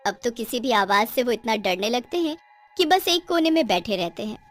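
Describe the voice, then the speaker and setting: High-pitched woman narrating a story. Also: lively intonation, moderate pace, faint music behind. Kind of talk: dramatic scripted narration of a cartoon horror story.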